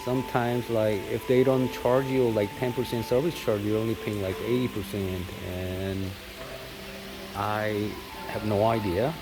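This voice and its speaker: A man's voice: lowish voice, measured pace, over music, low-quality recording. A Korean-born man whose first language is Korean, talking casually to camera with lots of fillers and restarts. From South Korea. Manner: rambling, many fillers and self-corrections. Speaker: vlogger. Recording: steady rain noise in background.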